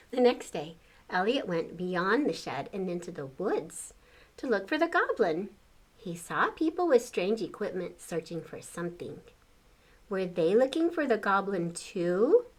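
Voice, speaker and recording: An older woman reading a children's picture book aloud, pausing to comment on the pictures and ask young listeners questions. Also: mid-range voice. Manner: exclaims and chats about the pictures, asks questions. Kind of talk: expressive read-aloud storytelling with asides to young listeners.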